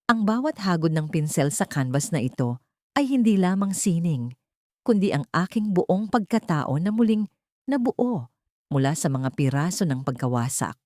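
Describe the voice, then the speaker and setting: Woman narrator as resilient female artist, gentle yet commanding, love-story drama, slightly low voice. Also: big pitch swings, moderate pace, no room sound, studio quality. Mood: resolute. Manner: gentle, authoritative.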